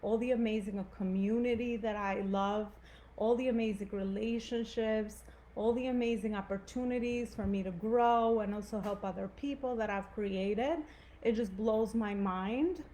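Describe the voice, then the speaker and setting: A woman's voice: on the high side, fairly even intonation, moderate pace, warm tone, slight background noise, low-quality recording. A woman from Albania with an Albanian accent, giving an earnest, conversational motivational talk to live viewers and often asking "right?". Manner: earnest, conversational, frequent "right?" and "you know".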